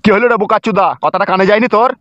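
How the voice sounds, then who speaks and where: A man's voice: sits high, fairly even intonation, very fast, muffled recording, background noise, close to an everyday voice. A man voicing an irate village father in a comedy cartoon, ranting and scolding with foul-mouthed indignation. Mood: stern.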